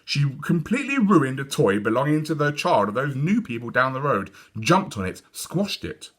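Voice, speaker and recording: A man voicing a brisk, prim, easily exasperated mother in a children's storybook, with sing-song delivery. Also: medium pitch, very animated, a bit bright, pitched above the performer's own voice.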